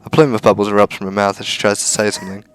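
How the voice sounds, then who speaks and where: A man's voice: lowish voice, little pitch movement, racing, faint music behind. A man reading a visual novel aloud for a let's play, with casual asides.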